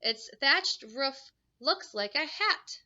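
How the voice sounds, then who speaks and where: A woman's voice: high-pitched, animated, slow, sharp tone, dull audio. A woman teacher reading a picture book aloud to young children, adding simple explanations and questions in an instructive way.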